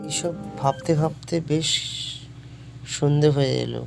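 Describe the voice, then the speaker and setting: A man's voice: on the high side, a little slow, vocal fry. A man narrating a scripted horror story in storytelling fashion. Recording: loud music under the voice. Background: loud background music.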